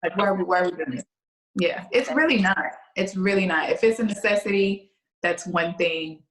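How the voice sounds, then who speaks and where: A woman in her late thirties, chatting casually as a podcast guest, telling stories in an expressive, animated way. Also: fairly low pitch, moderate pace, reverberant, poor audio. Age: 30s. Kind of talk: casual chat.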